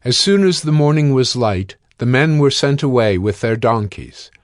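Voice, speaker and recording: A man narrating a dramatized scripture reading in a steady, measured way. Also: medium pitch, no room sound, studio quality.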